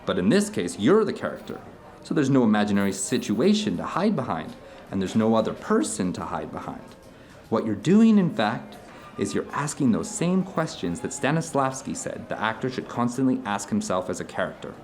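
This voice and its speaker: A man's voice: lowish voice, very animated, normal speed, darkish tone, faint music behind. A man playing an earnest theater director telling stories to an old friend in a conversational audio drama. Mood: earnest explaining. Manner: storytelling, earnest, discursive. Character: animated theater director recounting his travels to an old friend.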